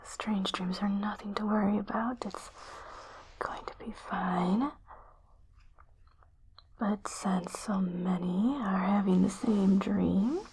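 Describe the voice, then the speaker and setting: Woman doing a calm space doctor lady for asmr, breathy whisper, real slow n clinical, some hiss behind it. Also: medium pitch. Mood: soothing. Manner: slow, soothing, gently inquisitive. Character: calm, clinical female spaceship doctor examining an injured crewmate. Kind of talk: ASMR roleplay.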